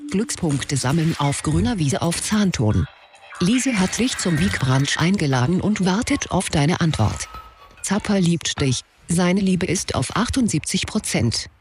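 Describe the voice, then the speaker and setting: High-voiced computer notification system. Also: male voice, lively intonation, a little slow. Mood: impersonal, announcing. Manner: announcing, list-like, impersonal. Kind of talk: German radio sci-fi drama acting. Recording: music behind the voice.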